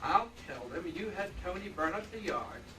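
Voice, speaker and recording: A man acting a desperate radio-play killer with tough old gangster talk. His voice runs a bit high and comes from off the microphone, in a distant, noisy live stage recording. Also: lively intonation, normal speed, roomy sound. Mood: menacing. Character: desperate, scheming male killer with a gun. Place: convention stage, live performance.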